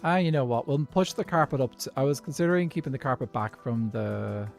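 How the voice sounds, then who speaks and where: A man's voice: mid-range voice, a little fast, creaky, faint music behind. Posh-sounding Dublin Irish man chatting. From Dublin, Ireland. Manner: chatty, thinks aloud, laughs often. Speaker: streamer playing a block-building game. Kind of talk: casual gameplay chat.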